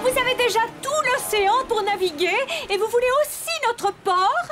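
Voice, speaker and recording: A woman voicing an indignant, highly strung young mermaid in a children's cartoon, fussy and exclamatory. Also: moderate pace, loud music behind the voice.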